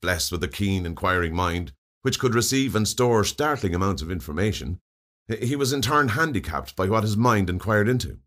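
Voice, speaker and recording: A man with an Irish accent reading a comic short story aloud in an expressive, wry way. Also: on the low side, normal speed. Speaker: story reader.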